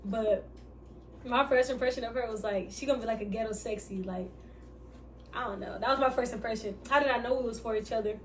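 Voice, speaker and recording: A woman with a light, slightly high voice rambles casually and loses her place mid-story. She sits back from the mic, and a faint hiss runs underneath. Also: fairly quick, distant mic. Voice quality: light, somewhat feminine voice. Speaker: vlogger answering couple questions. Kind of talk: casual mukbang chat.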